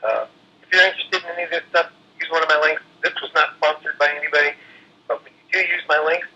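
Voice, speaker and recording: A man's voice: a little high, fairly even intonation, quick pace, bright, forceful tone, slightly rough. A man hosting a video talks casually to viewers through a walkie-talkie, muffled and distorted. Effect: walkie-talkie radio. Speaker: host of a DIY video channel.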